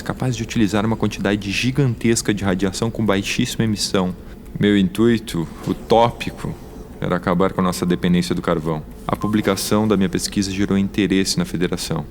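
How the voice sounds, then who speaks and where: An actor in an audio drama playing a male scientist with a mechanical arm, recounting his wartime past in formal, deliberate, wordy speech. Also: on the low side, slight background noise, close to an everyday voice.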